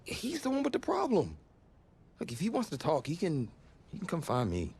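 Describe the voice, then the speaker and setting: A man's voice: on the high side, wildly swooping pitch, normal speed, gravelly, darkish tone, breathy edge, heavily acted. A man playing a proud, defensive young college quarterback in a naturalistic video game cutscene. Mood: sullen. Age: young adult. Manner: defensive, argumentative.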